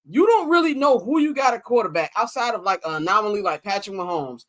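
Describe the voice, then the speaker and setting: A man's voice: high voice, quick pace. A man co-hosting a podcast, talking sports in a casual, slangy way and acting out what players and coaches said. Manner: animated, acts out what others said; slangy, loose and conversational. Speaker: podcast co-host.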